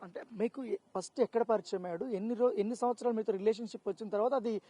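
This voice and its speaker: A woman's voice: on the high side, fairly even intonation, fast talker, mellow, husky, no room sound. A woman interviewing for a news channel, asking probing questions mixed with English words. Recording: faint background ambient noise.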